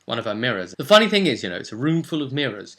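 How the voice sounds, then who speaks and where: A man hosting as game master, recapping a tabletop role-play session in an animated, conversational storytelling way. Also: medium pitch, rapid-fire, vocal fry.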